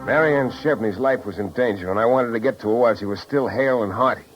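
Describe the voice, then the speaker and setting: A tough, world-weary gumshoe acted by a man from a 1950s radio play. He talks in wry, slangy wisecracks at an ordinary male pitch. The old recording is dull and narrow. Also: fast talker, soft background music, close to an everyday voice. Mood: pressing, urgent. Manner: hard-boiled, wisecracking, slangy. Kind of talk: 1950s radio detective drama acting and first-person narration.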